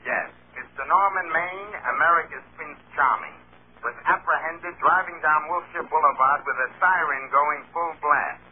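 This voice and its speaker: An actor playing a sarcastic, mock-formal, brisk studio publicity man in an old-time radio drama. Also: a little high, natural voice. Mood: sardonic. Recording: tinny, noisy vintage radio broadcast.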